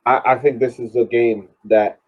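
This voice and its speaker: A man on a tinny, phone-like call giving casual sports commentary as a panelist, halting and deliberate as he thinks aloud. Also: mid-range voice, level tone. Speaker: podcast panelist. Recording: tinny, muffled phone-like line.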